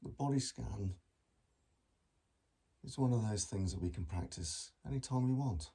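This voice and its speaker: A man guiding a meditation in a gentle, measured manner with long pauses between phrases. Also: lowish voice, animated, slightly rough. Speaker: mindfulness meditation teacher. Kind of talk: guided body scan meditation.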